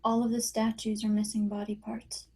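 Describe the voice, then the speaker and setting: A woman playing a desperate, frightened mother in a dramatic screenplay table read, with a husky voice. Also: fairly high pitch, level tone, normal speed, a little mellow. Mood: questioning, troubled. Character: desperate, frightened mother pleading for her daughter.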